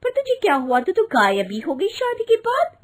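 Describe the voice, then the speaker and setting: A woman's voice: very high-pitched, huge pitch swings, normal speed, voice pushed up, heavily acted. A woman voicing a vain young TV actress and daughter-in-law in a comic cartoon story, affected, coy and self-important. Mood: smug.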